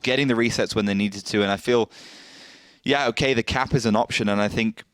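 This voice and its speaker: Male co-caster, measured analyst, close dry mic. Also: lowish voice, steady tone, a bit bright, clean tone, dry recording. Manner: measured, analytical, frequent fillers. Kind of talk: esports match commentary and analysis.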